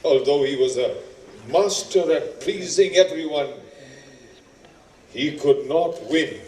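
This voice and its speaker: A man acting as a solemn storyteller, narrating an epic on stage in a measured, dramatic way. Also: on the high side, darkish tone. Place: indoor theatre stage, distant microphone. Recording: echoey stage recording from far off.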